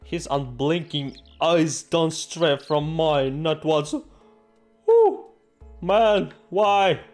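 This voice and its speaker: A man's voice: a little high, sing-song, drawn-out words, a little mellow. A man hosting a game playthrough, reading aloud and reacting in a jokey, theatrical, exclamatory way. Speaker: gaming video host. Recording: music behind the voice. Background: game music.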